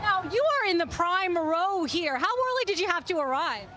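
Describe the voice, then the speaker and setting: A woman field reporter doing upbeat live street interviews at an outdoor city parade, chatting quickly with passersby on a handheld mic. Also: extremely high pitch, expressive, music in the background.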